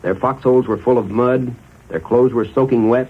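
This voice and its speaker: On a narrow, tinny vintage radio recording, an American man speaks in a husky, medium-pitched voice. He is an army officer giving a plain, orderly interview account in 1940s broadcast style. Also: fairly even intonation, normal speed.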